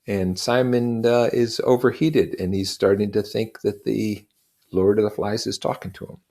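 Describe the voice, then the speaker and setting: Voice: mid-range voice, steady tone, normal speed, warm tone. A narrator reading a novel aloud expressively and adding explanatory comments.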